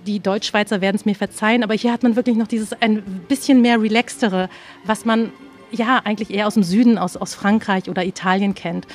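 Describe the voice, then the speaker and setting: A woman's voice: medium pitch, fairly even intonation, fairly quick, soft background music. A middle-aged woman from Berlin working in tourism, chatting eagerly in an interview on an outdoor terrace. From Berlin, Germany. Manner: chatty, enthusiastic, many fillers. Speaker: tourism office employee. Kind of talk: casual interview.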